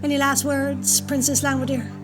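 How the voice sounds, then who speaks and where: A woman's voice: high voice, level tone, over music, close to an everyday voice. A woman playing a smug, formal usurper in an audio drama, speaking in a theatrical way. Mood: smug menace. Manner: deliberate, theatrical. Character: smug usurping female executioner-leader, formal and menacing.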